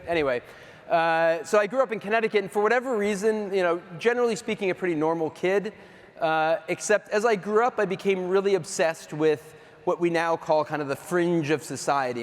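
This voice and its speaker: A middle-aged man from the East Coast speaks from a big stage into a microphone, his slightly high voice ringing with long hall reverb. He talks casually and self-deprecatingly, full of ums and asides. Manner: chatty, self-deprecating, many fillers. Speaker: keynote speaker. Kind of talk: casual conference keynote. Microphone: handheld or stage mic.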